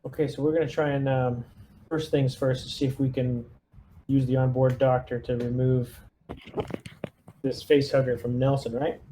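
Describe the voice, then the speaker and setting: A man's voice: mid-range voice, flat delivery, normal speed, long pauses, dark tone. A man playing a tabletop roleplaying game, talking through his plans in a casual way. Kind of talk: casual in-character game talk. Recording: roomy echo, faint background noise.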